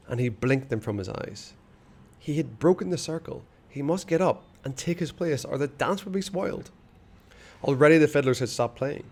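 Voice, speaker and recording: A man reading a children's novel aloud, expressive and hushed in the dark passages. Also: medium pitch. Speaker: storyteller.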